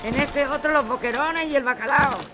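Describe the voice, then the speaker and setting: An actress playing a resolute working-class protest leader in a Spanish radio drama. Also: female voice, high voice, normal speed, very noisy, natural voice. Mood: commanding.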